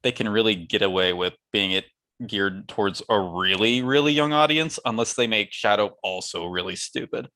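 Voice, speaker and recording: A man's voice: on the low side, normal speed, pushed and bright. A man co-hosting a casual talk show, chatting in an opinionated, wry way. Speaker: podcast co-host. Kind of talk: casual banter about movies.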